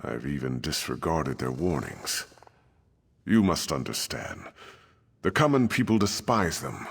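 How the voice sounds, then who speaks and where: An actor playing a grave, weary middle-aged desert king in a video game, speaking in a measured, formal, regal manner. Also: a bass voice, very animated, vocal fry, husky, heavily acted. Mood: troubled, solemn. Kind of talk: scripted video game dialogue.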